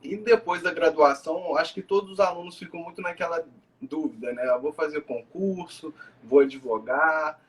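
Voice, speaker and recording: A man's voice: a little high, moderate pace, poor audio. A man, a law professor talking informally as a guest. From Minas Gerais, Brazil. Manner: relaxed, informal, many tag questions. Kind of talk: casual interview talk.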